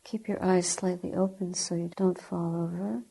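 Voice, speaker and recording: A woman teaching guided meditation in a soft, breathy, gentle way, speaking in short deliberate phrases with long pauses. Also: fairly low pitch, steady tone, poor audio. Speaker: Buddhist nun, meditation teacher.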